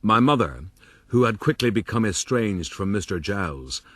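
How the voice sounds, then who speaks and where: An actor playing a vain, self-satisfied gentleman adventurer, pompously narrating his own rise in a radio drama. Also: lowish voice, lively intonation, moderate pace, dry recording. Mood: smug. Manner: pompous, formal. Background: music.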